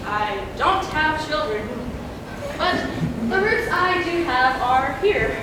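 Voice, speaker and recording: A woman's voice: high voice, lively intonation, takes it slowly. A young woman playing a grand, imperious, self-pitying jilted woman in a school stage comedy, with a theatrical delivery. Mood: resolved, grand. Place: stage in a hall, far microphone. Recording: distant echoey stage recording, noisy.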